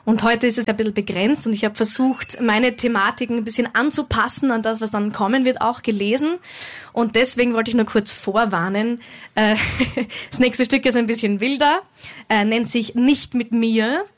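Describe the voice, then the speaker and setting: A woman's voice: fairly high pitch, steady tone, moderate pace. A woman singer-songwriter informally introducing her song from the stage in a chatty, rambling way.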